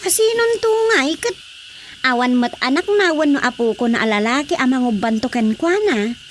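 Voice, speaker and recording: A woman playing an expressive, gossipy wife in a radio drama comedy, with an Ilocano accent and an exclaiming delivery. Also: high voice, brisk, slight background noise. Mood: animated gossip. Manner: animated, exclaiming.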